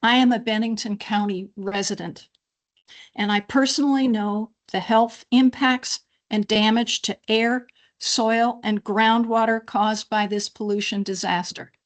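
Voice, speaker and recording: Woman, slightly high voice, firm, urgent, prepared remarks, public hearing comment. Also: steady tone, a little slow. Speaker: public commenter, local resident.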